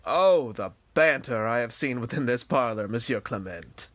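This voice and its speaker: A man's voice: medium pitch, expressive, normal speed, a bit bright, no room sound, lightly acted. A man playing a discreet but gossipy servant of a Duke in a period audio drama, speaking with courteous, archly formal phrasing. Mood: knowing. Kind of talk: formal period stage-play acting.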